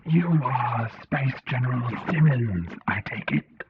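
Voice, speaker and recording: A man voicing a haughty alien leader with a gurgling, creaky voice and slow, formal speech in a narrated science fiction story. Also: medium pitch, animated, lo-fi sound, slight background noise. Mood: formal, ponderous.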